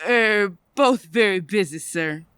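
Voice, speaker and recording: A woman's voice: medium pitch, lively intonation, takes it slowly, a bit bright, smooth, dry recording. A woman playing a polite, earnest charity collector in an old-time radio play, courteous and formal.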